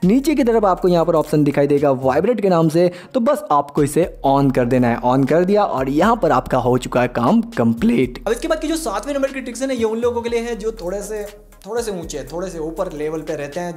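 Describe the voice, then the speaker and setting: A man's voice: on the high side, animated, a little fast, darkish tone. A man hosting a smartphone tips tutorial in an informal, chatty way, talking to viewers like buddies. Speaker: tech tutorial host.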